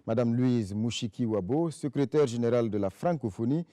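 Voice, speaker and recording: A man's voice: medium pitch, brisk, warm tone. A man hosting a formal TV interview show, asking long, wordy questions with fillers. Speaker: TV talk show host.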